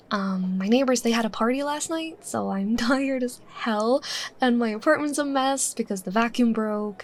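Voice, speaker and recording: A woman playing a bubbly, teasing young woman chatting casually with her secret crush in a roleplay, expressive and playful. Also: fairly high pitch. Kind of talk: roleplay ASMR, acted casual chat.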